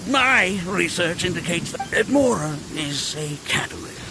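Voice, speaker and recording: A man's voice: sits high, animated, unhurried, over music, low-quality recording. A male actor playing a pompous, argumentative archaeologist in a video game, pedantic and devout. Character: indignant, pedantic male archaeologist, devout about his god. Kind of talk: English video game voice acting.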